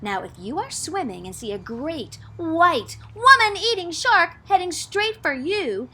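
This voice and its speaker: High, bright-voiced woman reading theatrically. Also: very animated, a little slow. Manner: theatrical, dramatic emphasis. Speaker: drama teacher reading aloud. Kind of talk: expressive storybook read-aloud.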